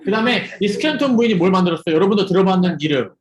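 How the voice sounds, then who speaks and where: A man's voice: high voice, very fast, reverberant, a crowd in the background. A young man leading an interactive youth sermon over a video call, asking his students questions as he goes. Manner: lively, asks students questions and jokes with them. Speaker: youth ministry preacher.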